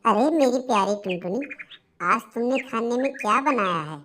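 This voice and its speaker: A woman's voice: on the high side, normal speed, fairly bright tone, slight background noise, natural voice. A woman voicing a happy, doting young husband bird, affectionate and admiring, in a cartoon story.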